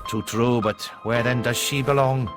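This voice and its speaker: A man's voice: medium pitch, fairly even intonation, normal speed, lightly acted. An actor playing a grumbling, deferential junior policeman with a working-class English accent in a video game. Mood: curious. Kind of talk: video game character acting. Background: music.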